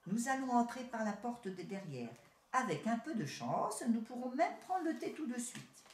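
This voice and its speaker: A woman's voice: a little high, big pitch swings, normal speed, voice pushed up. A woman voicing a haughty, boastful male city rat in a storybook tale, talking down to his cousin. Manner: proud, boastful, condescending. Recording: roomy echo.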